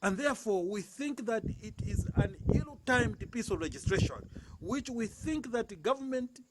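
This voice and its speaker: A man with a Zambian accent speaks in a very high-pitched voice, making an emphatic statement for the news. He repeats phrases for weight. The background is clearly noisy. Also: expressive, moderate pace. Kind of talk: spoken statement to news camera.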